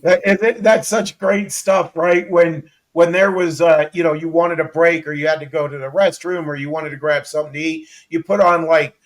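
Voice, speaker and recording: A man's voice: a little high, steady tone, moderate pace, few pauses. An American man hosting a casual, conversational interview. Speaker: talk show host interviewer.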